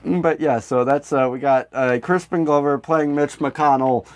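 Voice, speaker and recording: A man's voice: a little high, steady tone, a little slow, no echo. A man co-hosting a podcast, chatting casually with a sarcastic, irreverent comedic streak. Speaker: podcast co-host.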